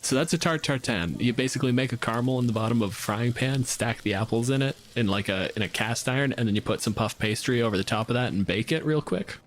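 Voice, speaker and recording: A man's voice: medium pitch, fast, hardly any pauses, slight background noise. Casual man running a tabletop game. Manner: informal, freely swearing. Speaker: game master.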